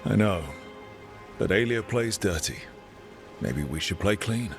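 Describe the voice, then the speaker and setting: Young rebel hero, laid back and reassuring, lowish voice, game dialogue with faint music. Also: male voice, animated, a little slow. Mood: earnest. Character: easygoing young male rebel hero leading companions.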